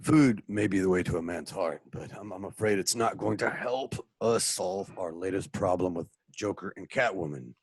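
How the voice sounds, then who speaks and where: A deep, husky caped crime-fighter played by a man speaks in a measured, formal way. His pitch rises and falls widely, and the sound is dry and close. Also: no room sound, heavily acted. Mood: earnest. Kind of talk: amateur radio play acting.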